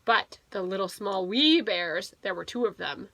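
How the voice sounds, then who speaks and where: A woman's voice: medium pitch, lively intonation, a little slow, creaky, fairly bright tone, dry recording, rough recording. A woman teacher reading a picture book aloud to young children, expressively and with little explanatory asides.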